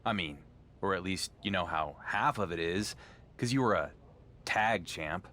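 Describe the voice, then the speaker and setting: An actor playing a sarcastic, exasperated champion wrestler in a video game, dry and quick to defend himself. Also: male voice, low voice, expressive, pushed and bright, full character voice. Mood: evasive. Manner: dry, sardonic retorts, defensive under accusation.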